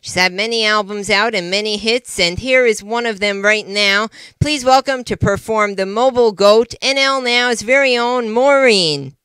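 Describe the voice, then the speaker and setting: A man's voice: high-pitched, moderate pace, hardly any pauses, bright, forceful tone, smooth, no echo. A young man voicing a courteous puppet show host who makes polite stage introductions. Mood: courteous, presenting. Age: young adult. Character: bespectacled male puppet show host in bow tie, courteous announcer.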